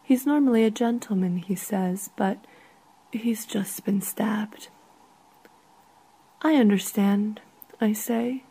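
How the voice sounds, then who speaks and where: A woman reading a literary short story in a soft, measured way, with a husky, breathy voice. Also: medium pitch, rough recording.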